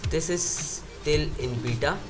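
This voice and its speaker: A man's voice: fairly high pitch, slow pace. A man with an Indian accent casually walking through a smartphone's features, thinking aloud and correcting himself as he goes. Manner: unscripted, thinking aloud with fillers and self-corrections. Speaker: tech video host. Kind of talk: casual screen-recorded tech walkthrough. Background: background music.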